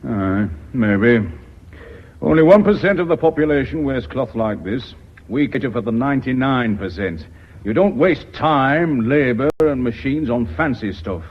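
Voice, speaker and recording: An actor playing a rude, domineering businessman in a British radio drama, curt and brusque, with a husky voice. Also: mid-range voice, lively intonation, measured pace. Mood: brusque contempt. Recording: muffled old radio broadcast.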